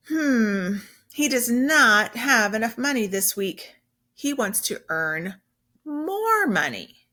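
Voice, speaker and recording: Female teacher, bright and animated, unhurried children's read-aloud with emphatic simple explanations. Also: mid-range voice.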